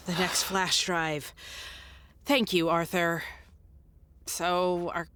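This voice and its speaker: A woman's voice: fairly low pitch, flat delivery, drawn-out words, sharp tone, slight background noise, exaggerated voice. A woman playing a friendly game player in a comedic audio drama. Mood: friendly, teasing.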